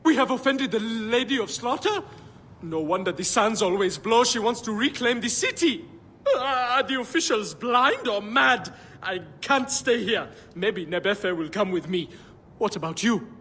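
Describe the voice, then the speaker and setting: High-voiced, fretful villager. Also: male voice, a little slow, long reverb tail, a little hiss or hum, exaggerated voice. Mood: alarmed. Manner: fretful, hesitant, excitable. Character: anxious, superstitious male ancient Egyptian villager. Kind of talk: video game drama acting.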